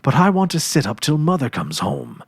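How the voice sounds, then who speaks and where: A man's voice: a little high, huge pitch swings, moderate pace, gravelly, breathy edge, dry recording, studio quality, voice pushed up, full character voice. A man voicing a confident, eager ten-year-old farm boy in a storybook, earnest and emphatic, with a boastful know-it-all manner.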